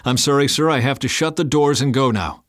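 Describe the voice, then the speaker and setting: A man's voice: medium pitch, brisk, dry recording, studio quality, pitched above the performer's own voice, lightly acted. A man voicing a firm but respectful paramedic in an audiobook, speaking with courteous urgency. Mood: firm, urgent. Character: firm, respectful male paramedic at a crash scene.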